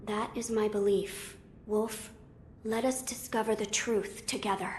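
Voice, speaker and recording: A woman voicing a young noble boy lord in a video game, formal, measured and earnest. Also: mid-range voice, fairly even intonation, slight background noise, natural voice. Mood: quiet, reflective. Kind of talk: video game cutscene acting.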